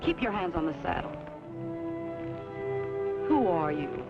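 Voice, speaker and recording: Curt, commanding young rancher's daughter. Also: female voice, fairly low pitch, sing-song, slow pace, exaggerated voice. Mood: stern, commanding. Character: hard, trigger-happy young ranch daughter, curt and bossy. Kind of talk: old-time western radio drama acting. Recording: muffled old radio recording with music.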